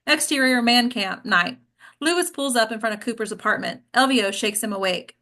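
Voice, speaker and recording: Bright-voiced woman reading stage directions. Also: a little high, normal speed. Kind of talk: scripted narration at a table read.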